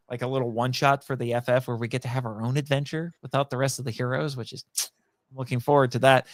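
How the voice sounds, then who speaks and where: A man's voice: medium pitch, a bit bright, no room sound. A man speaking as a podcast guest in a casual, rambling, jokey way, plugging his own projects with some self-deprecation. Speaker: podcast guest, writer and audio drama voice actor.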